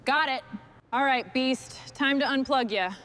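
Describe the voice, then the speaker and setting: A woman's voice: sits high, unhurried, a bit bright. A woman muttering to herself and giving brief instructions while doing restoration work, in short bursts of work talk. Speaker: machinery restorer.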